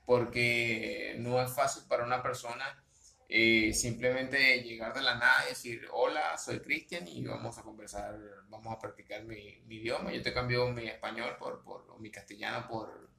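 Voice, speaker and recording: Gravelly, bright-toned Latin American man. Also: mid-range voice, fairly even intonation, moderate pace, roomy sound. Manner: repeats words while thinking. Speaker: podcast co-host, English teacher. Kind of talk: casual podcast chat.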